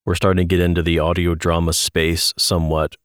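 A man with a deep voice speaks as a podcast interviewer. His style is casual and conversational, and the recording is very dry, without echo. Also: level tone, brisk, clean tone.

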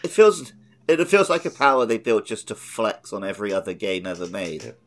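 A man's voice: fairly low pitch, very animated, fairly quick, fairly bright tone. A man chatting casually as host of a gaming podcast, with a blunt, opinionated and sweary manner. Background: background music.

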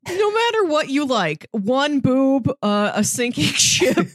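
A woman's voice: high-pitched, big pitch swings, takes it slowly, no room sound, clean studio sound. A woman co-hosting a podcast, chatting casually in a review discussion. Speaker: podcast co-host.

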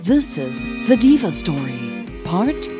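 A woman narrating a scripted audio story as a podcast host, with measured, deliberate phrasing. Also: on the low side, very animated, over music.

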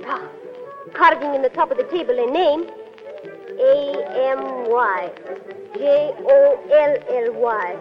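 High-voiced cafe flirt. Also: female voice, takes it slowly, pauses often. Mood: coaxing, teasing. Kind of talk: 1930s radio acting. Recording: muffled 1930s radio recording, faint music.

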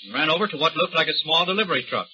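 A jumpy, indignant young suspect. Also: male voice, fairly high pitch, animated, rapid-fire, pushed and bright, breathy edge, exaggerated voice. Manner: defensive, indignant, rising to panic. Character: nervous indignant young male murder suspect. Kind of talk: 1930s radio drama acting. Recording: dull old radio recording.

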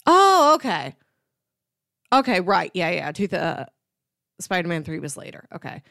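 A woman's voice: fairly low pitch, sing-song, normal speed, creaky, fairly bright tone, dry recording. Thirties woman co-hosting, close dry sound. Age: 30s. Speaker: podcast co-host. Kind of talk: casual movie podcast chat.

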